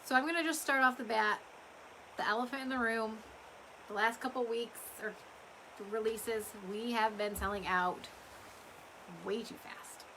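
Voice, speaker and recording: A woman's voice: on the high side, normal speed, long pauses, sharp tone, a little hiss or hum, rough recording. A woman chats casually and ramblingly to customers on a livestream, her voice a little strained from talking. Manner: rambling, chatty. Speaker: small business owner hosting a live update.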